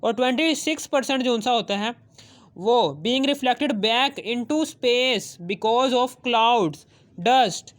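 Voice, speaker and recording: A man's voice: very high voice, drawn-out words, a bit bright. A man teaching a science lesson, repeating phrases and asking questions that he answers himself. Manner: repetitive, asks questions and answers them, adds check-in tags. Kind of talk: explanatory school lesson, reading English textbook phrases and explaining them.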